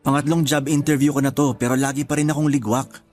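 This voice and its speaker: A man acting as a discouraged, bashful young boyfriend in a radio drama, with a hesitant, soft-hearted manner. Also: medium pitch, little pitch movement, fast, faint music behind, lightly acted. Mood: dejected. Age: young adult. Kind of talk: Tagalog radio drama dialogue.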